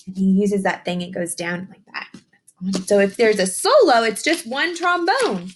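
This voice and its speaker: Animated woman reading aloud to young children. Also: medium pitch, a little hiss or hum. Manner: enthusiastic, encouraging; expressive, drawn-out reading with simple questions to listeners. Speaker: teacher reading a picture book aloud. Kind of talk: read-aloud lesson addressed to young children.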